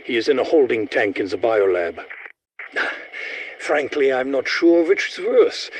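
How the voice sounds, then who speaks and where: An elderly German scientist for a video game, haughty, excitable and sardonic. His fairly high voice swings widely in pitch as he picks his words slowly and precisely, with theatrical disdain. There is some noise behind him. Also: low-quality recording. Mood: dry, grave. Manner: pompous, theatrical, precisely worded and condescending. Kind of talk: video game character voice lines.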